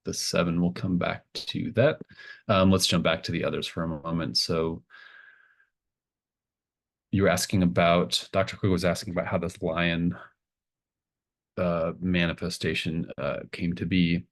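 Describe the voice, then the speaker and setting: A man running a tabletop game as game master, improvising narration in a halting way, thinking aloud mid-sentence. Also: sits low, level tone, vocal fry.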